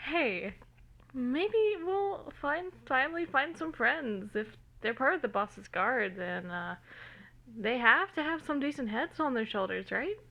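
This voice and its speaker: A woman's voice: sits high, expressive, measured pace, a bit bright, full character voice. A woman voicing an anxious, reluctant young man in a story, fretful and whiny, recorded on a phone. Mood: hopeful.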